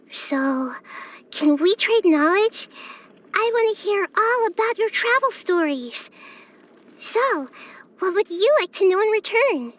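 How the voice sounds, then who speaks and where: A woman voicing a wise, gentle, curious child-like goddess in a video game, with a light youthful voice and earnest, thoughtful phrasing. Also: very high-pitched, exaggerated voice. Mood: curious, friendly. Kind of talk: video game character voice lines.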